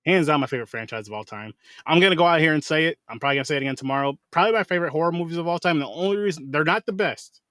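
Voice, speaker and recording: A man hosting a podcast in casual chat, talking quickly and swearing freely. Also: fairly high pitch, no room sound, clean studio sound. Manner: rapid, animated, swears freely. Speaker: podcast host.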